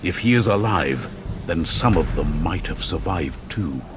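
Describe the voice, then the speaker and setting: Low-voiced, stern wounded fighter. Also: male voice, expressive, a little slow. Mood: stoic, dry. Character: wounded, stern, dutiful fighting companion, a grown man. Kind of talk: video game cutscene acting. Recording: loud ambient noise over the voice.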